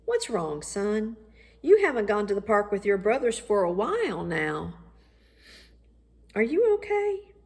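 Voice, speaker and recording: A caring father in a children's storybook, voiced by a woman. The delivery is gentle, encouraging and slow, as if to a young son. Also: medium pitch, sing-song. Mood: gentle concern. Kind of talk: children's storybook read-aloud.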